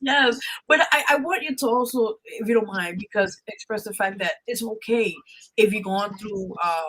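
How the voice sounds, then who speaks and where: Bright-voiced woman hosting a podcast. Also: mid-range voice, normal speed, poor audio. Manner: affirming, interjects agreement. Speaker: podcast host. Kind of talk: conversational interviewing.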